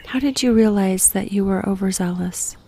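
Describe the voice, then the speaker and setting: A woman guiding a meditation in a gentle, unhurried, breathy voice that sometimes nears a whisper, giving slow reflective prompts. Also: mid-range voice, background noise. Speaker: guided meditation host.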